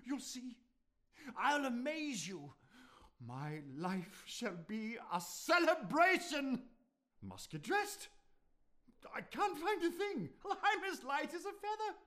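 An actor playing a changed old miser in a radio play, pleading and then breathless with joy. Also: male voice, very high-pitched, very animated, takes it slowly, fairly bright tone, full character voice. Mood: giddy.

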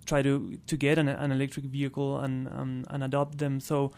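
A man's voice: medium pitch, completely flat delivery, normal speed, vocal fry, darkish tone. A man from Argentina, a native Spanish speaker with an Argentine accent, talking as a guest in a casual radio interview. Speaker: interview guest, clean transportation programme worker.

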